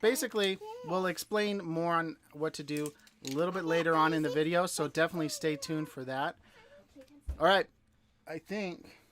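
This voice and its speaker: A man's voice: a little high, moderate pace, fairly bright tone. A man co-hosting a family vlog livestream, casually introducing guests and asking interview questions in an upbeat way.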